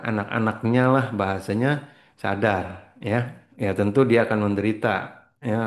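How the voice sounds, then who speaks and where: A middle-aged man gives a casual motivational talk as a spiritual trainer. He rambles, mixes in English words and keeps clearing his throat. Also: lowish voice, a little mellow.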